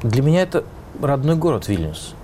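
A man's voice: medium pitch, sing-song, fairly quick, mellow, a little hiss or hum. A man, a musician being interviewed, answering in a reflective way as he searches for words.

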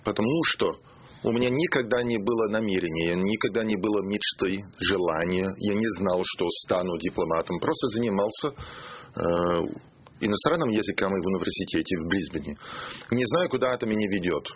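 Hesitant man, low voice. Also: expressive, husky. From Australia. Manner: hesitant, pausing to search for words. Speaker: ambassador, studio guest. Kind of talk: interview answers in a second language. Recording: muffled radio studio recording.

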